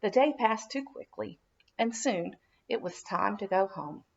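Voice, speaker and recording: A woman narrating a children's storybook in an expressive, dramatic read-aloud style for young listeners. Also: medium pitch, unhurried, vocal fry, muffled recording. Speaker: children's minister reading a storybook.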